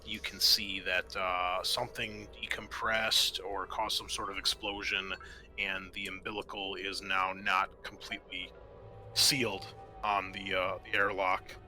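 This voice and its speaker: A man with a very bright, forward voice on the low side runs a tabletop game. He explains scenes and rules conversationally, often halting as he goes. Faint music plays behind him. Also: flat delivery. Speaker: game master. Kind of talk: running a tabletop roleplaying game.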